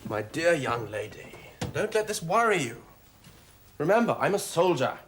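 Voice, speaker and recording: A man playing a pragmatic, gallant army captain in a stage comedy, speaking in a wry, gently teasing, matter-of-fact way. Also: a little high, sing-song, normal speed, slight background noise. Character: dry, pragmatic soldier captain, amused and gallant.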